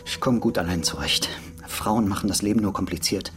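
A man's voice: sits low, brisk, faint music behind, lightly acted. Actor as aggrieved, defensive male busybody. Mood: defiant. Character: resentful, defensive male busybody under suspicion. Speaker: actor in radio play. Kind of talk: radio drama dialogue.

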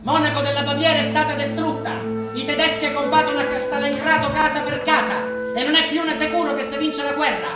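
A woman's voice: sits high, steady tone, brisk, lightly acted. An actress playing a village woman crying out grave war news on stage, with a Sicilian accent and an urgent declamatory manner. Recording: echoey theatre, distant, loud music behind.

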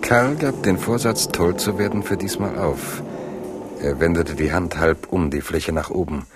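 A man narrating a literary radio drama in a measured, grave storytelling manner. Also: deep voice, over loud music.

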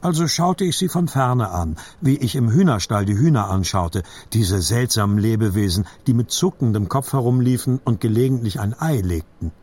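A man reading a literary story as a scripted audiobook narration, with a husky voice. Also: medium pitch, expressive, normal speed, hardly any pauses, darkish tone.

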